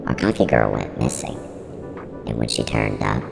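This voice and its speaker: An actor playing a snarky, defensive non-human service unit who runs a horror studio, with a pitched-down, gravelly voice in a mock interview. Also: male voice, lively intonation, moderate pace, exaggerated voice. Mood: grave, worried. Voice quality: very deep, creaky, heavily reverberant. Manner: blunt, flippant, defensive. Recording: long reverberant echo, music underneath.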